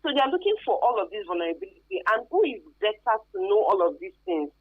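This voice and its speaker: A woman's voice: on the high side, big pitch swings, quick pace, husky. A woman with a Nigerian accent explaining things emphatically and conversationally as a guest expert in a radio interview, with local Pidgin tags. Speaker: guest expert on human trafficking. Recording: thin phone-like band-limited radio sound.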